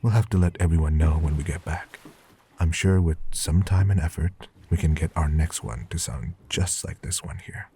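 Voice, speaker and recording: A man acting a relaxed, encouraging monster-hunting guild member in an audio drama, casual and approving. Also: extremely low pitch, normal speed, breathy edge, heavily acted. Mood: pleased, congratulatory.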